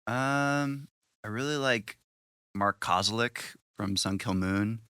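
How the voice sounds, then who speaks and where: A man with a low baritone voice, a band singer talking in a relaxed, thoughtful way and trailing off with ums. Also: slow pace, clean tone, dry recording, studio quality. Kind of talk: casual interview chat.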